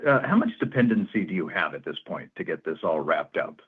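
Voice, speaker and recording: Male analyst dialling in, deep voice, conversational questioning, narrow phone-line sound. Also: big pitch swings, brisk, slightly rough. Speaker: financial analyst asking questions. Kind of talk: earnings call questions, conversational. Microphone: telephone.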